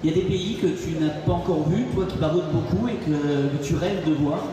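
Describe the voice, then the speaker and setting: A man's voice: on the high side, brisk. A man interviewing a guest in a chatty, familiar way, with hesitations and fillers. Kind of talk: casual interview. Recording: echoey hall, distant mic, crowd behind.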